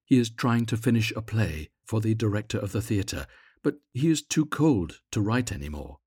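A sorrowful statue prince played by a man reading a storybook pleads with gentle authority. The voice is solemn and a little husky, somewhat low, recorded dry and close. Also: lively intonation, moderate pace, no room sound. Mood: compassionate.

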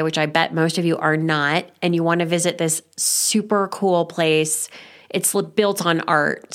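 Chatty female podcast host, slightly low voice, stuffy and nasal from a cold, talking over a video call. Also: fairly bright tone. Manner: upbeat, chatty, frequent ums. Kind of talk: casual podcast chat and announcements.

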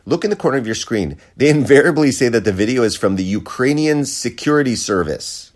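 A man's voice: mid-range voice, animated, brisk. An American man hosting a podcast, delivering a sarcastic, profane opinion rant. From United States. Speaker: podcast host. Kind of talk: opinion monologue.

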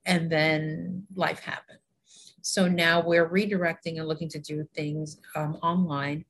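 A woman's voice: low voice, flat delivery, a little slow. A woman in her forties from New York, a health education consultant talking earnestly as an interview guest, with frequent fillers and easy laughter. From New York, USA. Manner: warm, earnest, laughs often, many fillers. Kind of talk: casual interview conversation.

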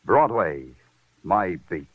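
Hard-boiled radio detective, tinny old recording. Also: male voice, low voice, very animated, slow, heavily acted. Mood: brooding, wry. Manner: terse, wry, noir narration. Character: hard-boiled, sardonic male police lieutenant narrating his beat. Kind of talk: 1940s radio noir acting. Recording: old band-limited radio recording with music.

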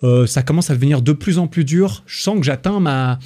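A young man from France hosting a solo podcast, talking in a relaxed, rambling way with lots of fillers and restarts. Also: on the high side, a little fast. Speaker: podcast host. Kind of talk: casual solo podcast monologue.

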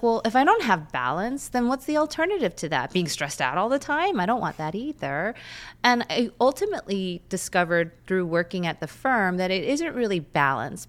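Female guest, thirties, Korean first language; candid and chatty, smooth voice pitched on the low side. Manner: candid, chatty, with frequent fillers. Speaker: guest, lawyer and runner. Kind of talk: reflective interview answers.

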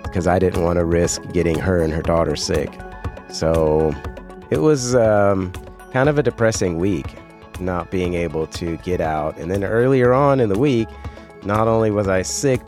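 Hoarse, congested low-voiced man. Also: darkish tone. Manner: rambling, conversational, self-correcting. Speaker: podcast host. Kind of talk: casual solo podcast talk.

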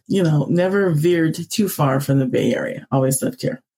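A woman's voice: deep voice, normal speed, warm tone, breathy edge. A woman from Northern California who hosts a career podcast and coaches, speaking in an upbeat, encouraging way. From Northern California, USA. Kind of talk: podcast hosting and ad read.

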